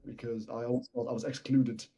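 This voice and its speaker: A man's voice: mid-range voice, little pitch movement, brisk. A young man from Palestine with a British accent, raised speaking Arabic too, talking casually as a rapper guest, a little mumbly and swallowing words. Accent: British English. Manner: soft-spoken, slightly swallowed words. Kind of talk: casual podcast interview. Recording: faint background music.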